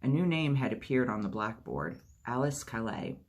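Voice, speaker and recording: Brief: male storytime reader, husky tone, mid pitch, steady narration. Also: moderate pace. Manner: steady narration with lightly acted dialogue. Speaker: audiobook reader. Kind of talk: reading a memoir aloud.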